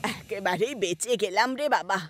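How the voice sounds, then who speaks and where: A woman voicing a haughty, scornful, demanding landlady in an animated village story, with an exclamatory delivery. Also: mid-range voice, normal speed, voice pushed down, natural voice. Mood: amazed relief. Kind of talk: animated moral story dialogue. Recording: loud music behind the voice.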